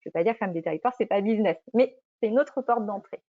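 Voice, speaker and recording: A woman's voice: mid-range voice, fast talker, no room sound. A middle-aged woman giving an informal, chatty account of her path as an entrepreneur, often correcting herself as she explains. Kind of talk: informal personal testimonial. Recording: dull, muffled lo-fi audio.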